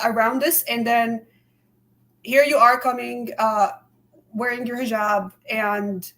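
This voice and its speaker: Female podcast guest, slightly high voice, earnest and candid, lots of restarts. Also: a bit bright. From immigrant to Canada. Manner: earnest, repeats words and hedges often. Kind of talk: candid conversational interview.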